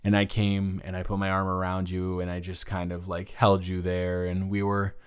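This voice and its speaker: A young man playing a flustered, tender college student talking intimately to a childhood crush in an improvised audio roleplay, stammering and halting. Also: low voice, completely flat delivery, smooth, natural voice. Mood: gentle, reminiscing.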